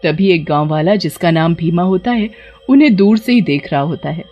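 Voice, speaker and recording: A woman's voice: on the low side, moderate pace, mellow, dull audio, faint music behind. A woman storyteller reading scripted narration for a tale.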